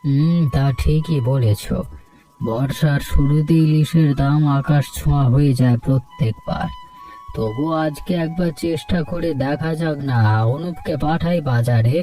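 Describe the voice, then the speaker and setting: A man's voice: a little high, unhurried, mellow, close to an everyday voice. A man playing an easygoing, food-loving village family man in a Bengali cartoon story. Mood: agreeable. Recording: slightly distant, music behind.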